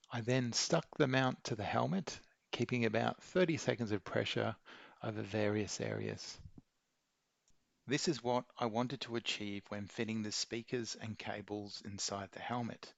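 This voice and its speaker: Gravelly man narrating a how-to. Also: fairly low pitch, no room sound, highs cut off. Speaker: video maker giving an installation tutorial.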